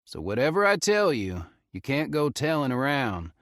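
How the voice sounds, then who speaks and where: Guy putting on a southern drawl ranger, folksy, higher and louder than his own voice. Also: very animated, normal speed, no room sound, clean studio sound. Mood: confiding. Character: gruff middle-aged male park ranger, southern drawl.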